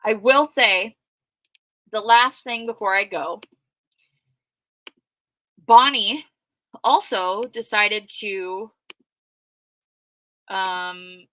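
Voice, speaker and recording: A loud, outspoken woman talking through a livestream in a casual, conversational way and answering her chat as she goes. Her voice is bright and forceful, and it sits close and dry with no room sound around it. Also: mid-range voice, very slow, long pauses, dry recording, poor audio. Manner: conversational with frequent fillers. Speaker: true crime commentator reading live chat.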